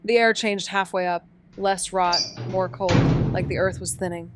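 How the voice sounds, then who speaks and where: An actress playing a wry, chatty young amateur sleuth in a fiction podcast, with a casual, wisecracking manner. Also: female voice, fairly low pitch, fairly even intonation, moderate pace, very noisy, lightly acted. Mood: reflective narration. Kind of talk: fiction podcast drama acting and narration.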